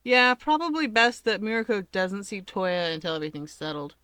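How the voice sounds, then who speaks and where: A woman's voice: mid-range voice, moderate pace, sharp tone, no echo, studio quality, close to an everyday voice. A woman voicing an easygoing young man who explains things in a breezy, dismissive and cheerfully nonchalant way. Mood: casual, reassuring.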